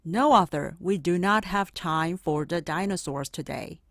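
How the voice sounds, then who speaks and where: A woman's voice: lowish voice, a little slow, fairly bright tone, dry recording, clean studio sound. A woman voicing a stern, busy male schoolteacher in a storybook, firm and instructive as if addressing a class. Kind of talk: storybook character voice.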